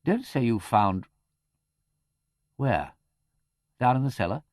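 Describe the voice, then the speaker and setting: Audiobook aristocrat, male reader: upper-class English, lively intonation, brisk, wry, used to giving orders. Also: medium pitch, no room sound, studio quality, pitched above the performer's own voice. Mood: strained. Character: commanding, clipped English aristocratic gentleman.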